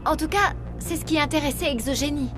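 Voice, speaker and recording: High-pitched, anxious young researcher, acted by a woman. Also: fairly quick, over music, close to an everyday voice. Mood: remorseful, anxious. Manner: earnest, anxious. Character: earnest, guilt-ridden young female company researcher. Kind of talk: dramatic French game dub acting.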